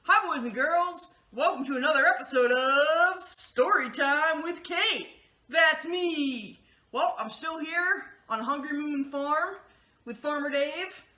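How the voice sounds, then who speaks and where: A woman's voice: sits high, takes it slowly, pushed and bright, reverberant. A woman hosting a children's story time, addressing young listeners and reading a picture book aloud. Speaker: children's story host and reader.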